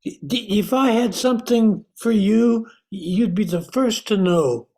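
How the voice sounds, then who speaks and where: Slow, desperate novelist, acted by a man. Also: high-pitched, warm tone. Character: desperate, menacing male novelist, blocked and greedy. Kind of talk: amateur radio play acting.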